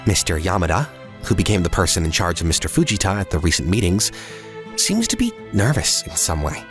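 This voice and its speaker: A man's voice: sits low, sing-song, fairly quick, over music. A man playing an earnest, polite young businessman who narrates his own story in a comic drama dub. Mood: narrating, concerned. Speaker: voice actor narrating and playing the lead.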